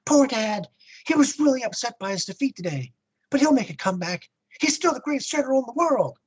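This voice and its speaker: Innocent, devoted young son, played by a man, pleading and tearful, very high and swooping. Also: lively intonation, a little fast, slightly rough, full character voice. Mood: sad, admiring. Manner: pleading, naive.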